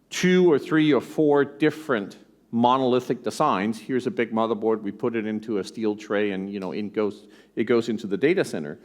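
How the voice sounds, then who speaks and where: A man from Denmark with an American accent gives a conference keynote, conversational and animated, tossing in rhetorical asides. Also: medium pitch. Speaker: tech company executive presenting. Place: conference stage.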